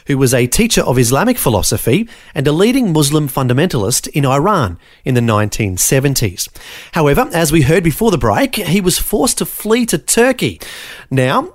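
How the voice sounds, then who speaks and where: A man hosting a radio show, reading scripted links in a smooth announcer style. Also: a little high. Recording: radio link over a music bed.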